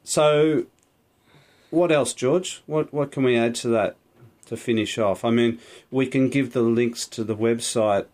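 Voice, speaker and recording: A man's voice: mid-range voice, unhurried, darkish tone. A man co-hosting an unscripted podcast in a studio, rambling and correcting himself, with frequent laughs. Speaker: podcast co-host. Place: small recording studio. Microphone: studio microphone.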